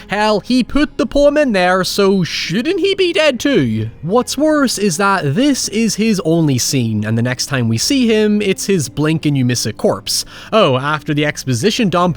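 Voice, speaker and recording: A man narrating a scripted video essay as its host, in a conversational, wry and sardonic way. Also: high-pitched. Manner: wry, sardonic, with rhetorical questions and mild swearing. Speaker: host of a film retrospective show.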